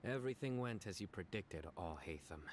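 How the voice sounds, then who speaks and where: Enforcer role, male actor: stern game lawman, deep voice, formal and measured, slight background noise. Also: lively intonation, natural voice. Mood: composed. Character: stern, composed chief law officer, adult man. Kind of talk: video game dialogue acting.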